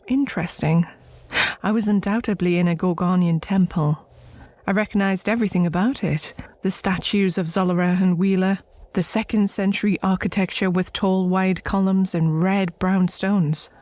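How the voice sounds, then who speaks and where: A woman playing an earnest, reflective alien woman in an audio drama, speaking in a measured, articulate and solemn way. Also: on the low side, fairly even intonation, a little mellow, natural voice. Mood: curious, thoughtful. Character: earnest, reflective alien woman revering her people's ancient past.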